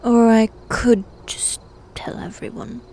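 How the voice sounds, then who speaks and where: Woman as slow, hushed, teasing demon knight. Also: a little high, steady tone. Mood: sly, taunting. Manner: soft, breathy, often whispered, deliberate and teasing. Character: teasing, haughty female demon knight bodyguard. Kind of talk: ASMR roleplay.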